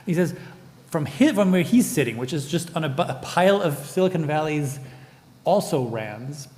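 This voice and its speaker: A man talks on a conference panel in a thoughtful, wandering way, often tagging his points with "right?". His voice sits a little high for a man, and a long hall reverb trails behind it. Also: lively intonation, normal speed, darkish tone. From Germany. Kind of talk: panel discussion.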